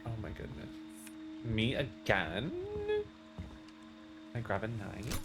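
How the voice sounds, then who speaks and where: Lively male streamer over music. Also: lowish voice, takes it slowly. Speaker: game streamer. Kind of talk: casual group gaming chat. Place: voice over gameplay with music behind. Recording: clear music behind the voice.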